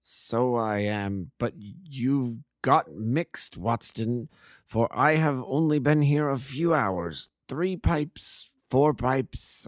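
A man reading a story aloud, voicing a befuddled, tearful man fogged by opium who talks in a slow, confused, pleading way. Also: mid-range voice, no room sound, studio quality.

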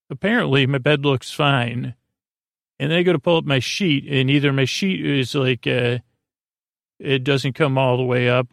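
A man with a creaky voice hosting a bedtime podcast, rambling hesitantly and often losing his thread. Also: medium pitch, no echo, clean studio sound. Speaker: podcast host.

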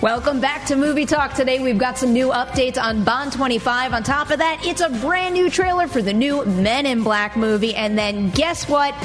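A woman's voice: sits high, moderate pace, hardly any pauses, music in the background. A woman hosting a movie news panel show, presenting entertainment news to her co-hosts.

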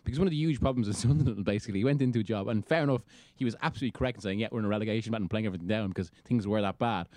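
An Irish man talks football at a fast clip, restarting sentences as his thoughts race ahead. He laughs easily and sounds animated. The recording is dry and close, with no room sound. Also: on the low side, no echo. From Ireland. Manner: quick, animated, laughs often, many restarts. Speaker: football writer, podcast panellist. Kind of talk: casual chat.

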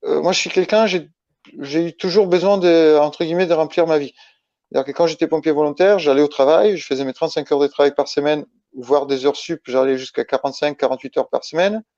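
Man with creaky, mellow voice, roomy sound. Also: a little high, fairly even intonation, fast talker. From Italy. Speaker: interview guest, ultra-trail runner. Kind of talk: casual interview conversation. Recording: dull lo-fi, roomy echo.

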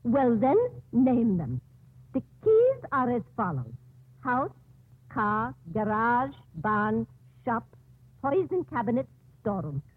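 Husky, fussing, kindly nun. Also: female voice, a little high, very animated, takes it slowly, no room sound, exaggerated voice. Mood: chatty, insistent. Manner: homely, fussing, chatty. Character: kindly, fussy, chattering nun. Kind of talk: 1950s radio drama acting. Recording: muffled, phone-like 1950s radio recording.

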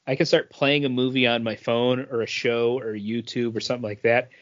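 A man's voice: mid-range voice, flat delivery, brisk, lo-fi sound. A man co-hosting a podcast, speaking in a hesitant, filler-heavy casual way. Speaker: podcast co-host. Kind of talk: casual chat.

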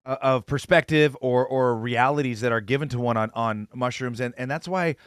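A man reporting news on a casual talk show, earnest and halting, with many fillers. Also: medium pitch, clear, resonant tone, no echo, studio quality. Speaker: correspondent. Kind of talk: casual panel chat.

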